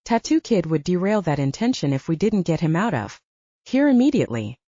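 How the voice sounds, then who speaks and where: A woman narrates fiction in a lower-pitched voice with plenty of melodic movement. Her tone is mellow rather than bright, and the dry, slightly dull recording keeps the scripted first-person storytelling close. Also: big pitch swings, a little fast, no room sound. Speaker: audiobook narrator.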